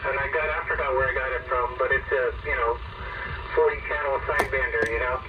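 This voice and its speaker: A man's voice: mid-range voice, fairly even intonation, fairly quick. A man chatting casually as a radio operator, heard through a two-way radio transmission. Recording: noisy muffled CB radio speaker, distant.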